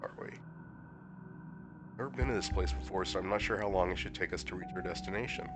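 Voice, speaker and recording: A hoarse man with a fairly low voice streams a horror game, reading its lines out loud in a casual, sarcastic way. His voice sometimes shoots up high in disbelief. Game music plays clearly behind him. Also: fast. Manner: casual, sarcastic commentary with sudden high-pitched incredulous outbursts. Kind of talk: live stream reading a visual novel aloud.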